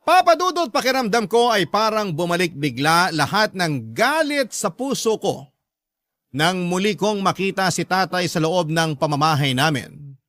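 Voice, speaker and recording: A performer voicing a hurt, resentful young adult daughter, narrating a first-person letter in an earnest, emotional, deliberate way. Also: medium pitch, very animated, fairly bright tone, dry recording, studio quality.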